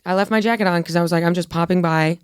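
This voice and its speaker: A woman's voice: lowish voice, steady tone, racing, smooth, dry recording. A young woman from Buffalo, New York, a comedian guest on a podcast, who talks in a candid, rambling way and swears freely. She says she has been losing her voice. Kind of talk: casual podcast chat.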